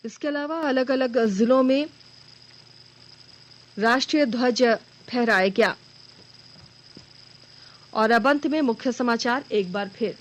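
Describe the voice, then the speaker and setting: High-pitched woman reading radio news. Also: slow pace, long pauses, a little hiss or hum, poor audio. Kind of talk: formal scripted regional news bulletin. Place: radio studio.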